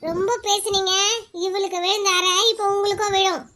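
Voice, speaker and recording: A woman voicing a sharp-tongued, defiant stepmother in a cartoon. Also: flat delivery, normal speed. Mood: snapping. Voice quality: very high-pitched, shrill. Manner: snapping and argumentative. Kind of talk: cartoon voice acting.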